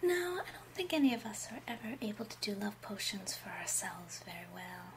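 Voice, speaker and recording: A woman playing a genteel, confiding love-potion witch in an ASMR roleplay, speaking gently in a breathy half-whisper. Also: mid-range voice, sing-song, slight background noise, close to an everyday voice. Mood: intimate, confiding. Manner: slow, gentle, unhurried, confiding. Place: small home room, indoors.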